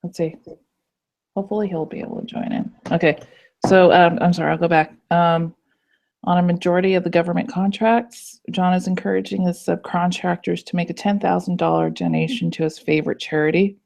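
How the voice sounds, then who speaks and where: A young woman in a student group video call, hoarse from illness, chatty and apologetic with lots of ums. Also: fairly low pitch, steady tone, fairly quick, a little mellow. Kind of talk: casual video call meeting. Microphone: computer mic on a video call. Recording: dull lo-fi call audio.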